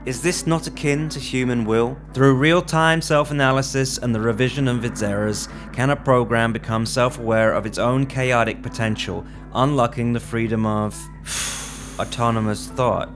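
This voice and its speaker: A man's voice: medium pitch, fairly even intonation, normal speed, music in the background, lightly acted. Man playing an earnest, reflective young writer. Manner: reflective, reciting.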